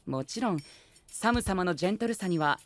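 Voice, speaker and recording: A male actor playing a pompous, rule-obsessed young gentleman knight in an anime audio drama, speaking formally and peppering his lines with English exclamations. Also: high-pitched, animated, fairly quick. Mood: proud, formal. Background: background music.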